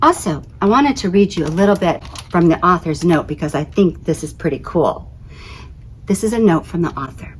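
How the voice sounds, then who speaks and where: A woman reading aloud as a school librarian in an expressive children's storybook style, with emphatic phrasing. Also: lowish voice, moderate pace, a little hiss or hum.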